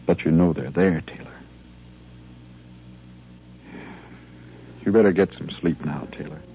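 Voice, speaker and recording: A male actor playing an earnest army lieutenant who steadies his men in measured, rhetorical phrases, in a 1950s radio drama style. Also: sits low, vocal fry, slight background noise. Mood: solemn, gentle. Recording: muffled old 1950s radio recording.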